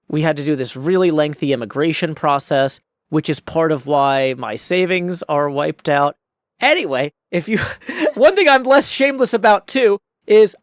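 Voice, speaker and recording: A man's voice: high-pitched, dry recording, studio quality. An American man of forty talking casually and reflectively in a personal vlog, with folksy phrasing and rambling asides. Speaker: video creator and host.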